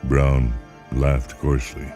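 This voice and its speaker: A man's voice: rumbling low, completely flat delivery, takes it slowly, warm tone. A man reading a speculative short story as a dramatic, scripted narration. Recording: music behind the voice.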